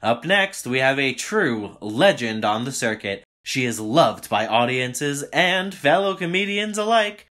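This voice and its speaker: A showy emcee, played by a man, announces with a bright, projecting voice. The delivery is slow and measured, in the style of a comedy contest host in an audio drama. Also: medium pitch, sing-song.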